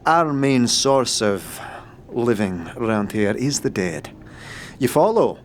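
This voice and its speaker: A man's voice: mid-range voice, big pitch swings, slow, a little hiss or hum. A man voicing a sardonic, wily boss of slum corpse collectors in a fantasy audio drama, bargaining in thieves' cant. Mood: wry.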